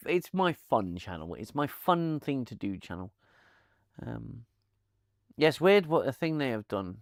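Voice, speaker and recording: Man, lowish voice, chatty live-stream host, rambling restarts, dry close lavalier sound. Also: no room sound. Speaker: channel host. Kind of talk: casual live-stream chat. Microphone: lavalier mic.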